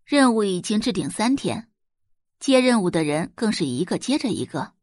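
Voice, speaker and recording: A woman's voice: medium pitch, big pitch swings, normal speed, darkish tone, no room sound, studio quality. A woman narrating a scripted audio drama as a storyteller.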